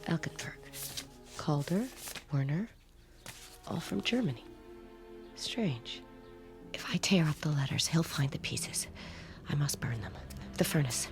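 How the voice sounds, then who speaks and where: Anxious, tender housewife, swooping intonation. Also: female voice, sits low, very animated, a little slow, long pauses, whispered, music in the background, exaggerated voice. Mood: secretive, resolved. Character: anxious grieving housewife, possessively tender toward foster girl. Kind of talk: naturalistic radio drama acting.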